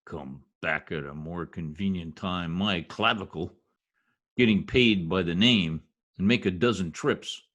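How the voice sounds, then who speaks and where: An actor playing a blustery, exasperated census taker husband with slangy talk in old-time radio comedy style. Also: male voice, low-pitched, unhurried, fairly bright tone, muffled recording. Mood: grumbling, brash.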